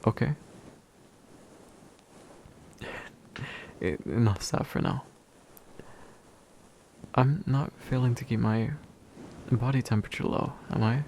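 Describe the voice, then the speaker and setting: A man playing a gentle, slightly bashful demon in a soft, soothing, intimate ASMR comfort roleplay. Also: medium pitch, lively intonation, vocal fry, slight background noise. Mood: warm, caring. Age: young adult. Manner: soothing, hushed, unhurried, tender.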